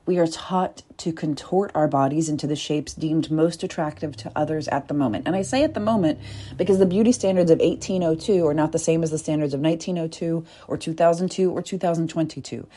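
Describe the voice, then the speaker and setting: A woman with a deep, soft voice speaking in a reflective, deliberate way, with pauses and whispered asides. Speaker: body-work coach. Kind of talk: reflective spoken essay.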